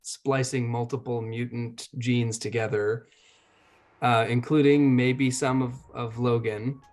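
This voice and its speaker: A man playing a tabletop game, describing his character's actions casually and deliberately, pausing to think aloud. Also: medium pitch, flat delivery, darkish tone.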